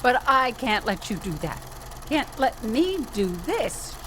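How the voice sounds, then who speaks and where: A woman's voice: on the high side, sing-song, measured pace, a bit bright. An actress playing a sardonic, fed-up New York woman in a comedic radio drama, wry and wisecracking. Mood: pained, flustered. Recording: noisy rattling sewing-machine workshop ambience.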